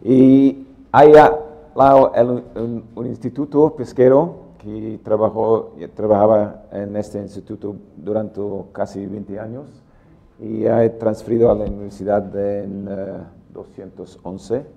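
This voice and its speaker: A middle-aged man with an English accent delivers a technical lecture in slow, halting Spanish, filling pauses with "em". His voice is low and mellow. The sound is dull and muffled. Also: level tone, gravelly. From England. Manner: halting Spanish with many "em" fillers. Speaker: guest speaker.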